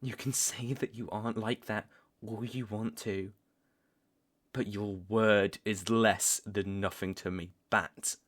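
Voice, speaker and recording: A young British man playing a stern, taunting vampire hunter in a roleplay audio drama, speaking deliberately and with cool menace. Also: on the low side, fairly even intonation, fairly bright tone. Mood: cold, serious. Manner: slow, deliberate, threatening.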